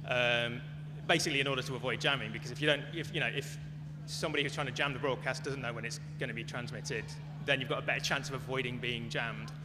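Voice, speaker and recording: A man speaks informally from a stage in a reverberant hall, fielding questions. His pitch is average and his explanations are tentative and hedged. A clear hum and noise sit behind him. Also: fairly even intonation, quick pace. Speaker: conference speaker answering audience questions. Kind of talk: informal Q&A talk. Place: large echoey venue at a live talk.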